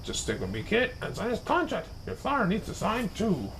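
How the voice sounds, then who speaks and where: Slick, pushy wheeler-dealer agent played by a male reader, storybook salesman patter, high and animated. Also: fairly quick, a little hiss or hum, full character voice. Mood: flattering.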